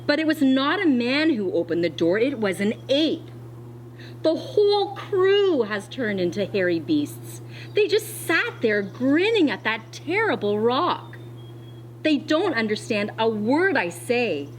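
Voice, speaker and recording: A woman schoolteacher reading a children's storybook aloud, expressively and deliberately, with dramatic pauses. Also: a little high, very animated, slight background noise, poor audio. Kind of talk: read-aloud of a children's storybook with teacher asides.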